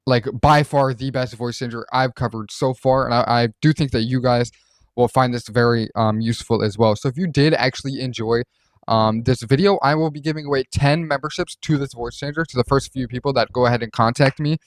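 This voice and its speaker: A man hosting a casual software walkthrough, explaining things in an informal, loosely rambling way. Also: medium pitch, fairly even intonation, brisk, no echo. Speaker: host reviewing voice changer software.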